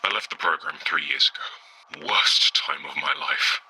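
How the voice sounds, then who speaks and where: Worn-out male ex-test subject, very deep, forceful edge, husky, terse, bitter, audio drama. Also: unhurried, heavily acted, rough recording. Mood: bitter, weary. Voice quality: husky, rough. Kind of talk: audio drama acting, confessional interview.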